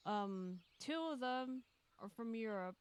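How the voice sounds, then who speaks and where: A woman's voice: a little high, lively intonation, takes it slowly, smooth. A woman hosting a stream in casual chat, rambling and hesitating as she goes. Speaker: streamer hosting a group visual novel stream.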